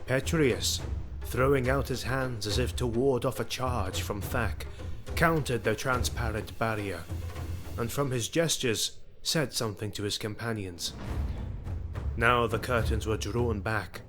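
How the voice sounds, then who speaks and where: A man narrating an audio drama in a scripted, measured and dramatic storytelling style. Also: mid-range voice. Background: music.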